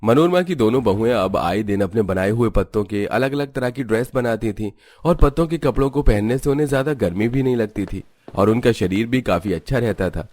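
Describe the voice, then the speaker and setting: A man's voice: on the low side, a little mellow, no echo. A man reading scripted narration for a cartoon story as its storyteller.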